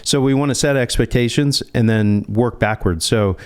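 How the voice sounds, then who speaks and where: A man's voice: mid-range voice, moderate pace, clean tone. A man speaking as a financial advisor in a conversational interview, explaining step by step and posing rhetorical questions.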